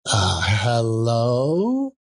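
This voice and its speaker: A man's voice: medium pitch, huge pitch swings, very slow, faint music behind, heavily acted. A man voicing a cheeky young street boy in an audiobook, blunt and incredulous.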